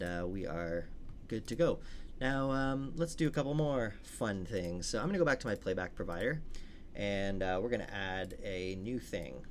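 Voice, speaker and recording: A man's voice: deep voice, a little hiss or hum. A man giving a casual, informal step-by-step coding walkthrough as a tutorial instructor. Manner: informal, explains step by step while working.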